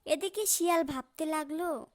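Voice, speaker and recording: A woman's voice: sits high, moderate pace, darkish tone. A woman narrating a scripted children's cartoon story.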